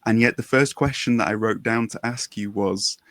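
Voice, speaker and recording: A man's voice: lowish voice, normal speed, fairly bright tone, no echo. Chatty podcast host guy, super keen and informal, dry sound no room. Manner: enthusiastic, gushing, informal. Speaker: podcast interviewer. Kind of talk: casual chatty interview.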